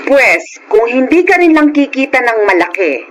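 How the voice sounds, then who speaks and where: A discontented middle-aged Filipino wife who nags about money. Her delivery is dramatic and lively in pitch. There is faint noise behind the voice. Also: moderate pace. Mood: irritated. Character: nagging, discontented, money-minded wife and mother. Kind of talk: dramatic radio-style acting.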